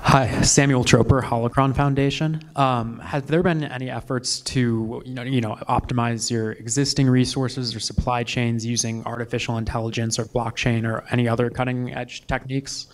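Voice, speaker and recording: A man's voice: mid-range voice, little pitch movement, moderate pace, hardly any pauses. A man asking a question from the audience, a little hesitant, repeating "you know" as he gathers his words. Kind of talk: question from the floor.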